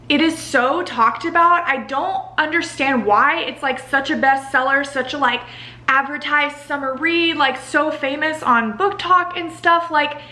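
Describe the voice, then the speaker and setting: A young woman with a very high, bright voice chats in a casual review vlog style. She is emphatic and exasperated, dropping "like" often as she vents. The sound is roomy and echoey. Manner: emphatic, exasperated venting with frequent "like". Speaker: book review vlogger.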